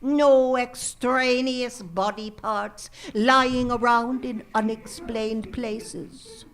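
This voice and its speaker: A woman's voice: a little high, fairly even intonation, extremely slow. A woman playing a prim, politely ominous hotel hostess in a live radio comedy. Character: formal, morbidly prying married woman hotel hostess.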